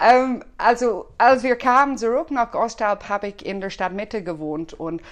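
A woman's voice: a little high, unhurried. A woman from Dublin with an Irish accent, a guest chatting casually in German with many hesitations. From Ireland, Dublin. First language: English. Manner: hesitant, many fillers, friendly. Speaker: guest, cinema series organizer. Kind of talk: casual interview chat.

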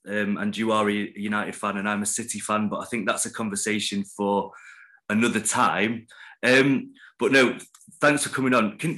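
A man's voice: fairly low pitch, fairly bright tone. A man hosting a casual podcast, friendly and chatty with a few hesitations. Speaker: podcast host. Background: music.

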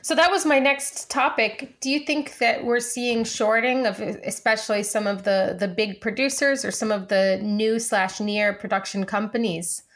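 A woman's voice: a little high, fairly even intonation, moderate pace, hardly any pauses, fairly bright tone. Inquisitive woman co-hosting a podcast. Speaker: podcast co-host asking questions. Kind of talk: conversational interview questioning.

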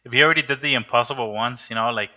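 Bright-voiced young male podcast host. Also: medium pitch, racing. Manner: rambling, many fillers and restarts. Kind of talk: casual chat.

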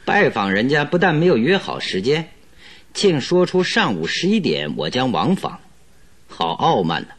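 An actor playing a confident, commanding detective in a radio drama, with a brisk, sardonic, probing manner. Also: male voice, medium pitch, sing-song. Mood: wry, amused.

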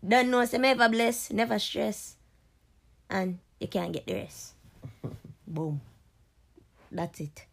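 A woman's voice: medium pitch, animated, slow pace, gravelly, pauses often. A young adult woman, the host's sister, talking as a guest with dry, sarcastic, playful remarks.

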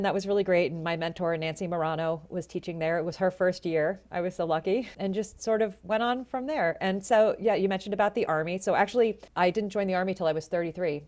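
A woman in her forties with a low voice, telling her story in a chatty, animated way as an interview guest. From Florida, USA. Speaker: jazz vocalist and educator, guest.

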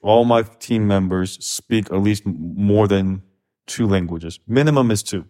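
A man from Korea co-hosting a casual podcast, with a lowish voice. He talks frankly and thoughtfully, often restarting his phrases as he thinks aloud. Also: unhurried, dark tone. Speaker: podcast co-host.

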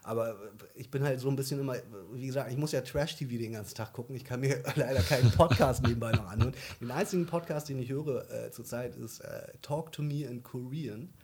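A man's voice: medium pitch, fairly quick, few pauses, a little mellow, a little hiss or hum. A young man co-hosting a casual podcast, rambling with many fillers and restarts. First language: German. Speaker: podcast co-host. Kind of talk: casual chat.

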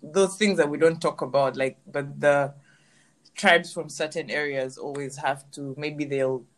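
A woman's voice: sits low, fairly even intonation, measured pace. A woman hosting a podcast in casual chat, reading out definitions and laughing easily. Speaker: podcast host.

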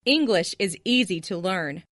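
A woman reading short phrases for language learners, repeating each one as a clear model. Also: on the low side, lively intonation, a little slow, fairly bright tone, dry recording, clean studio sound. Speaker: language lesson speaker.

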